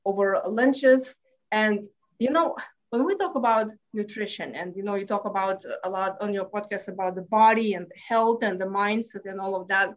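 A woman's voice: a little high, dull audio. A woman in her forties with a Lithuanian accent, a stress management coach telling her story in an interview, with many fillers and slightly broken English.

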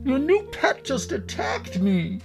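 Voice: high-pitched, sing-song, slow pace, vocal fry, faint music behind, pitched above the performer's own voice, full character voice. A performer voicing a whimpering, indignant male vampire in a children's story, with a whiny, plaintive delivery. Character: whimpering, wounded, indignant male vampire who drinks juice.

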